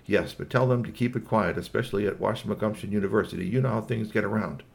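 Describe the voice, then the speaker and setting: Calm fatherly scientist, low and measured, voiced by a man. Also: gravelly.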